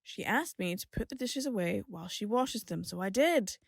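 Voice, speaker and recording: Blunt young daughter, close dry sound actress. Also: female voice, mid-range voice, expressive, normal speed, vocal fry, no echo. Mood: exasperated. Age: young adult. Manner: blunt, sardonic. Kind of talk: audio drama acting, conversational.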